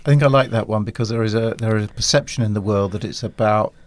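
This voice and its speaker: A man's voice: fairly low pitch, fast talker. A man co-hosting a podcast, speaking in casual discussion. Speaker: podcast co-host.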